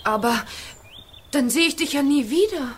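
A woman's voice: high-pitched, expressive, moderate pace. An actress playing a smitten, anxious young witch girl in a children's audio drama. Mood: sad, longing.

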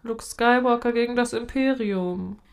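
A woman's voice: fairly high pitch, unhurried, smooth. A woman co-hosting a podcast, talking in a chatty, rambling way with lots of fillers. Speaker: podcast co-host. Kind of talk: casual chat with playful role-play. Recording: noisy background.

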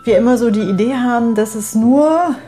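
A woman's voice: a little high, moderate pace, dark tone, faint music behind. A woman in a casual, reflective chat with a friend. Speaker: conversation partner in a personal audio piece.

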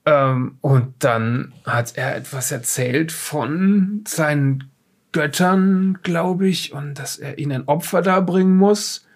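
A man playing a shifty former safecracker in an audio drama, self-justifying and rambling, with a gravelly voice and stammered restarts. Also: a little high, slow. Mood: agitated, insistent. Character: shifty former safecracker defensively recounting being attacked and kidnapped. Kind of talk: improvised audio drama dialogue.

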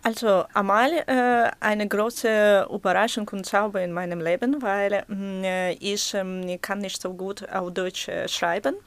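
A Ukrainian journalist with a Ukrainian accent, speaking halting German as a non-native speaker, with many hesitations and fillers. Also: female voice, mid-range voice, fairly even intonation, no echo. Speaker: guest journalist. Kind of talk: interview answers.

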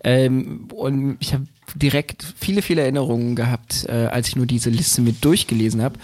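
Man, thirties, easygoing guest, hedges and restarts a lot, mid-pitched voice. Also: steady tone, normal speed, darkish tone. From Berlin, Germany. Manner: hesitant, many fillers and restarts, laughs easily. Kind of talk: relaxed conversational podcast chat.

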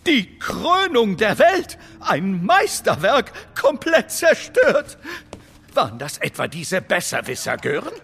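A man's voice: sits high, wildly swooping pitch, slow pace, a bit bright, big-room sound, a little hiss or hum, heavily acted. An actor playing a pompous, indignant gallery man in a children's audio drama, exclaiming theatrically. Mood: outraged, aghast.

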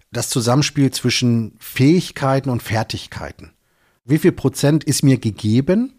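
A man's voice: mid-range voice, darkish tone. Male interviewer; casual, curious, conversational. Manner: conversational, informal questions and affirming murmurs. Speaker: host, interviewer.

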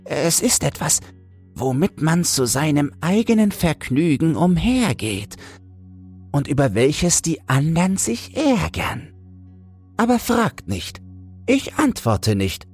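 A man's voice: a little high, big pitch swings, slow pace, slightly rough, faint music behind, voice pushed up, exaggerated voice. A man voicing an old mother toad, proud and secretive, with a weighty, admonishing way of talking in a storybook reading.

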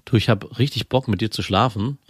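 A man co-hosting a podcast, giving thoughtful, measured relationship advice in casual chat. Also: fairly low pitch, husky, no room sound, clean studio sound. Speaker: podcast co-host.

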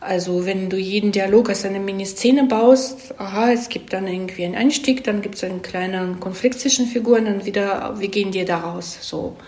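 A woman speaks as an interviewed expert in a casual, explanatory way. Her voice sits at a medium pitch, and she often tacks small check-in questions onto her statements. The recording is roomy, with clear echo around her voice. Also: moderate pace, few pauses, darkish tone. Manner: explains with frequent check-in tags. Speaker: screenwriter being interviewed about storytelling craft.

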